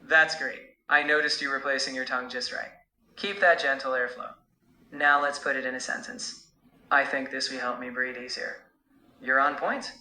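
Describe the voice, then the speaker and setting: Male AI tutor, very bright sharp tone, roomy sound, light noise, measured coaching. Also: medium pitch, fairly even intonation. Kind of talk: encouraging spoken feedback.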